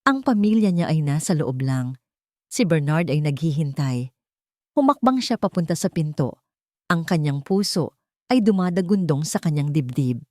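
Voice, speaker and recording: A woman reading a scripted dramatic story as an audiobook narrator, in a measured, suspenseful way. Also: low-pitched, expressive, warm tone, no echo, studio quality.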